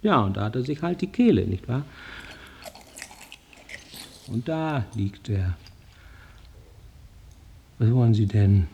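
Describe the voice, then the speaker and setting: A man's voice: mid-range voice, sing-song, slow pace, vocal fry, pauses often, darkish tone, lo-fi sound, a little hiss or hum, exaggerated voice. An actor playing an agitated male psychiatric patient in a radio drama, defending himself in fragmented, insistent bursts. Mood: insistent, rambling. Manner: rambling, fragmented, insistent self-justification.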